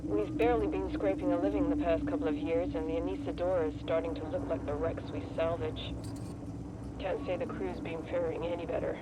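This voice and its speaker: High-pitched hopeful salvager played by a man, noisy log. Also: level tone, brisk, natural voice. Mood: cautiously hopeful. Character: weary but hopeful male salvage ship crew member. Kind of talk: reflective audio log, game acting. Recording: loud ambient noise over log.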